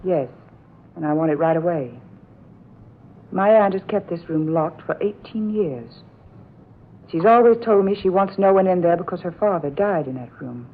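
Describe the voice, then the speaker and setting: An actress playing a distraught, headstrong young niece with earnest, dramatic delivery in an old radio melodrama. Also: female voice, fairly low pitch, animated, moderate pace, pauses often. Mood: insistent. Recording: muffled old radio recording, faint hiss.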